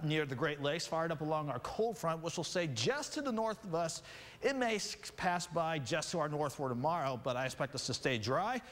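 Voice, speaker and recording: A man's voice: a little high, fairly bright tone, long reverb tail. An American man presenting a TV weather forecast in a polished, conversational way, stressing key words. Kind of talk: broadcast weather forecast, semi-scripted presenting. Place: TV news studio.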